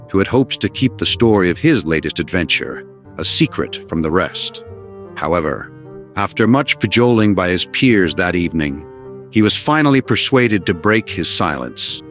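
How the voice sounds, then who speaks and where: A man narrating an old legend from a script in a measured, formal storytelling manner. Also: low-pitched. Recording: voice over orchestral music.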